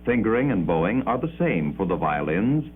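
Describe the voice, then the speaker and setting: A man delivering formal, measured 1950s educational film narration with precise enunciation, on an old muffled soundtrack. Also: fairly low pitch, sing-song, a little hiss or hum.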